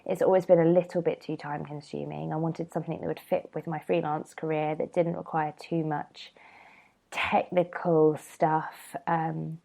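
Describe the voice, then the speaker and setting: A woman's voice: deep voice, little pitch movement, normal speed, creaky, warm tone. A woman hosting a casual solo podcast, rambling and chatty with lots of ums, sounding a bit nasal from a cold. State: has a cold, slightly nasal. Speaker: podcast host.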